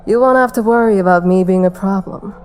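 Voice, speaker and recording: A woman's voice: mid-range voice, a little fast, darkish tone, slight background noise, close to an everyday voice. A woman acting a cocky, sarcastic captive in an audio drama, teasing and narrating in a wry, slangy way. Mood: playful, cocky.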